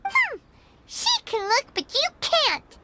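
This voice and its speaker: A woman voicing a tiny, excitable female fairy sidekick in a video game, childlike, squeaky and dramatically indignant. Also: takes it slowly, heavy background noise, heavily acted. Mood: suspicious, indignant. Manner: refers to self in third person, dramatic.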